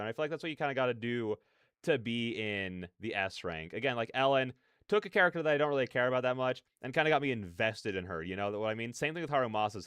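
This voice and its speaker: Man casually reacting, clear dry voice. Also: medium pitch, a bit bright, no room sound. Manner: rambling, peppered with "like" and "you know". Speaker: reaction video host. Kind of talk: casual reaction commentary, thinking aloud.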